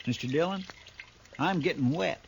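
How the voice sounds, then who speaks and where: An actor playing a loyal, worried deputy sidekick in a 1950s radio drama. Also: male voice, a little high, moderate pace. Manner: earnest, worried. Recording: old radio broadcast with clear hiss.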